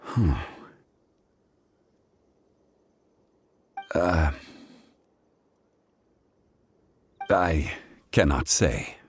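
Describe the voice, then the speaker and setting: An actor playing an ancient, stoic god in human form in a video game, speaking in a measured, formal and grave manner. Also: male voice, extremely low pitch, animated, slight background noise, full character voice. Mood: reserved. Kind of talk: video game story voice acting.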